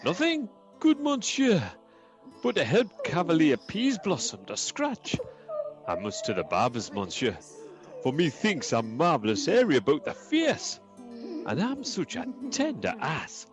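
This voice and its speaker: A man's voice: on the high side, huge pitch swings, measured pace, music in the background. An actor playing a foolish, self-important donkey-headed man with a mock-courtly manner in a radio comedy. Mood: pompous, contented. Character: Bottom, pompous foolish weaver transformed into a donkey-headed man. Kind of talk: radio play Shakespeare comedy acting.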